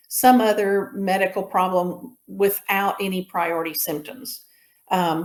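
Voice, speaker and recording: A woman giving an informal slide lecture as an instructor, explaining in a measured way with pauses mid-sentence. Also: lowish voice, a little mellow. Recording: roomy echo on the voice.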